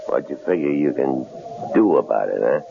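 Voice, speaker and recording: A menacing captor in an old radio thriller. His voice sits extremely deep with a creaky growl, and he lords it over people with slangy sneers. The sound is narrow and hissy, like a worn broadcast. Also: expressive, a little fast, exaggerated voice. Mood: sneering. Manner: taunting, slangy, commanding. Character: menacing male captor, sneering, domineering thug. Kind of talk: 1970s radio drama acting.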